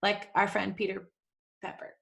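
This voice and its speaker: A woman's voice: medium pitch, monotone, moderate pace, gravelly, crowd noise. A woman co-hosting a casual live podcast, telling small anecdotes with wry, joking asides. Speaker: podcast co-host.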